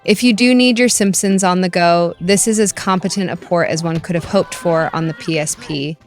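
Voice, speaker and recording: Low-voiced woman reviewing games. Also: moderate pace. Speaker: video game reviewer. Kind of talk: scripted review narration. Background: music.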